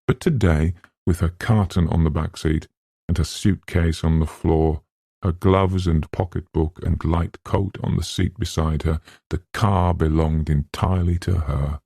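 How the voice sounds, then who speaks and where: A man narrating a literary novel as an audiobook in measured, scripted storytelling. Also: low-pitched, fairly even intonation, vocal fry, warm tone, slightly rough, no room sound, clean studio sound.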